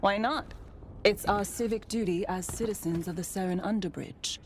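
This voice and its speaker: A woman's voice: mid-range voice, slight background noise, lightly acted. Woman as a dry, determined teenage street thief. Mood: wry. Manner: dry, casual, quick to retort. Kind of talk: video game dialogue.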